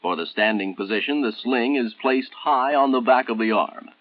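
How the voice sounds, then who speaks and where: An American man narrating a 1960s military training film in a formal, measured, instructional manner, on an old film soundtrack. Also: mid-range voice, no echo, narrow, tinny audio, close to an everyday voice.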